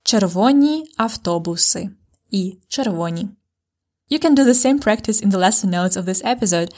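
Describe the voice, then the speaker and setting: A woman with a Ukrainian accent teaches a podcast language lesson. She voices each example word slowly and clearly so learners can copy it. Her voice sits at an ordinary middle pitch for a woman. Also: expressive, darkish tone. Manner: clear, slow model pronunciations.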